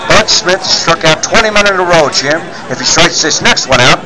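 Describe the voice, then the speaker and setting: A man's voice: on the high side, animated, normal speed, a crowd in the background, natural voice, rough recording. A man playing a bossy, skeptical newspaper chief, emphatic and blustering, in 1940s radio serial style. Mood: astonished.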